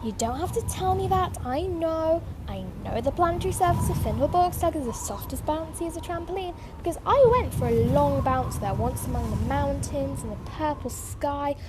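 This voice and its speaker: A woman playing a quick, scatty, optimistic alien time traveller in a fan audio drama, chattering to herself and switching abruptly between worry and pep. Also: high voice, animated, background noise. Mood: indignant, rambling.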